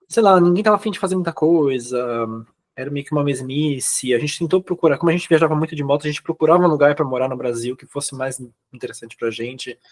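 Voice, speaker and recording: A young Brazilian man speaking Brazilian Portuguese, recounting his experiences in a relaxed, chatty way with many fillers and quoted dialogue. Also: a little high. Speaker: guest. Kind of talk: casual interview chat.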